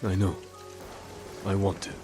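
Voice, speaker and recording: A man playing a stoic, resolute samurai warrior in a video game, speaking in an earnest, measured and restrained way. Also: deep voice, completely flat delivery, over music. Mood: calm, resolute. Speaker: video game character actor. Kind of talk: naturalistic game dialogue acting.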